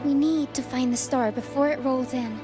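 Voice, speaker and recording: A woman playing a calm, quirky young female adventurer in a video game, measured and gently playful. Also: sits high, natural voice. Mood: calm, curious. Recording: loud game music behind the voice. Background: game music.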